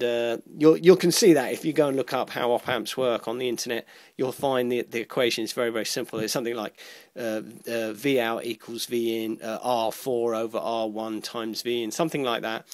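A man giving an informal electronics tutorial, explaining step by step in a halting way, often repeating and rephrasing himself. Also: mid-range voice, fairly even intonation, dry recording. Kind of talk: informal explanatory lesson walking through a diagram.

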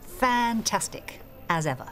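A woman's voice: mid-range voice, very animated, a little slow, a bit bright, faint music behind. A woman acting in a radio soap as an anxious but teasing middle-aged village mother.

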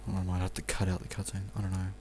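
A man's voice: low-pitched, completely flat delivery, rapid-fire, a little mellow, a little hiss or hum. A young Australian man giving casual, off-the-cuff video game commentary, rambling in an offhand mutter and swearing now and then. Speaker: let's play commentator.

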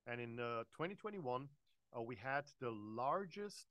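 A man's voice: mid-range voice, expressive, a little slow, no room sound, lo-fi sound. Upbeat German-accented man presenting live. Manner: upbeat, salesy, many fillers and restarts. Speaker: network marketing presenter. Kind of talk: live online business presentation. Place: small home studio. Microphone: desk microphone in front of him.

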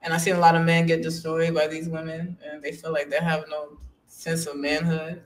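A young woman chatting casually on a podcast, talking in a flat, monotone way with little energy. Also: sits low, moderate pace, low-quality recording. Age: young adult. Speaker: blind date guest. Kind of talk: casual chat. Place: small podcast studio, indoors. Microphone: studio microphone held close.

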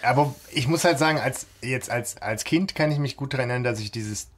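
A man's voice: mid-range voice, brisk, slight background noise. A man co-hosting a podcast, talking informally in a casual discussion. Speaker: podcast co-host.

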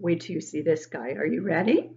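A woman in her sixties reading a picture book aloud to young children, expressive and exaggerated, often putting questions to the listeners. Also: low voice, a little fast, highs cut off, a little hiss or hum. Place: living room at home, indoors.

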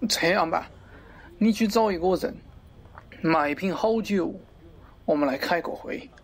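A man's voice: high-pitched, a little slow. A man playing a pompous middle-aged wholesale market director in a parody radio drama, avuncular and lecturing, crude yet fond of poetry. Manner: avuncular, lecturing, theatrical, abruptly swearing.

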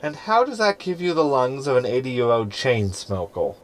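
An actor playing a wry, self-preserving hologram crew member with a dry, sarcastic manner, in a science fiction comedy audio drama. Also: male voice, medium pitch, very animated, moderate pace, lightly acted. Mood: sardonic.

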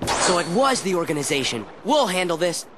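Plucky boy hero for a game: high, lively intonation, blunt and impatient. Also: a little fast, background noise. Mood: determined. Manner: eager, blunt. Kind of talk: video game cutscene acting.

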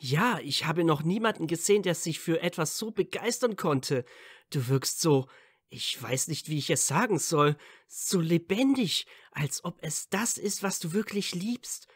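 Shy teenage wizard boy voiced by a woman, low register, hesitant, earnest, dry audio-drama sound. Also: lively intonation, a little slow, husky, no room sound, heavily acted. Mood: earnest admiration. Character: shy, awkward dark-haired teenage male wizard student. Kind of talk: fan audio drama acting.

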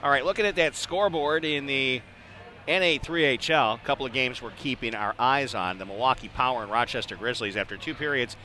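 A man's voice: medium pitch, brisk, bright, forceful tone, slight background noise. An American man giving a live intermission report as a hockey play-by-play announcer. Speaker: play-by-play sportscaster.

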